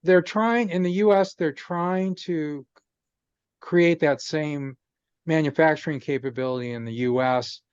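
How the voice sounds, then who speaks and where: A man's voice: on the high side, measured pace, clear, resonant tone, no echo, lo-fi sound. A man in a casual video call chat, explaining technical ideas patiently with lots of "um" and restarts. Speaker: guest explaining chip technology. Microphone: video call microphone.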